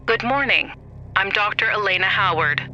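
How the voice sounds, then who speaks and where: Woman acting as calm, soothing doctor. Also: medium pitch, low-quality recording. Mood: calm, attentive. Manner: measured, soothing, gently probing. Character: calm, reassuring female doctor interviewing a traumatized patient. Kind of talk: audio horror drama acting.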